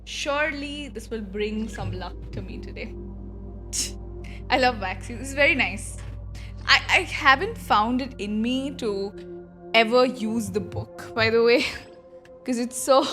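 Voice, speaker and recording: High-voiced woman streamer, chatty and scattered. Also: unhurried, fairly bright tone, soft background music. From India. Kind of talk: casual livestream chat while playing a game.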